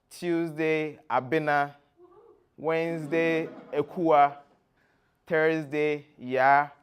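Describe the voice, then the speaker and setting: Ghanaian guy giving a talk, kinda high voice for a man, real slow and clear, explains stuff step by step. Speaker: guest speaker, former tour guide. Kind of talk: presentation to an audience with slides.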